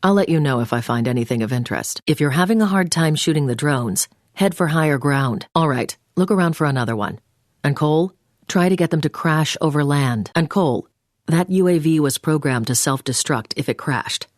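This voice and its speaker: A woman's voice: sits low, expressive, brisk, dry recording. Businesslike female agent handler for a video game, clipped orders, really dry close sound. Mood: businesslike, urgent. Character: brisk, commanding female intelligence agent giving orders. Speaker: mission handler over the phone. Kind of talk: scripted video game voice acting.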